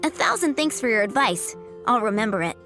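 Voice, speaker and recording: A woman's voice: fairly high pitch, sing-song, fairly quick, bright, forceful tone, full character voice. A woman voicing an earnest, shy young woman in an anime game, devoted and fretful, with a hesitant manner. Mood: resolved. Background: game music.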